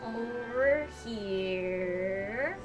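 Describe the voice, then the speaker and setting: A woman's voice: a little high, lively intonation, drawn-out words. A woman streamer giving casual, slangy, reactive commentary over a video game. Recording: game music behind.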